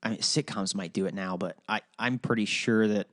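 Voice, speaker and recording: Young ohio guy hosting a podcast, kinda low voice, swears a lot, lots of ums, dry close sound. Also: brisk, no room sound. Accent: Ohio, Midwestern. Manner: rambling, profane, full of fillers and restarts. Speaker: podcast host. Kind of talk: casual solo podcast chat.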